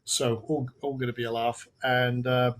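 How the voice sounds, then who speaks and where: A man hosting a live software webinar, talking casually through on-screen steps with frequent restarts while his voice goes hoarse. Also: mid-range voice, little pitch movement, moderate pace, a little hiss or hum. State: voice going hoarse. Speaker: webinar host.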